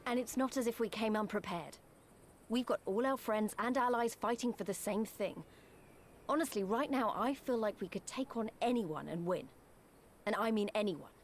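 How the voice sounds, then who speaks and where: A woman's voice: a little high, normal speed, slight background noise, close to an everyday voice. An actress playing a confident, spirited young female fighter in a video game, speaking informally. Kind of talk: video game dialogue acting.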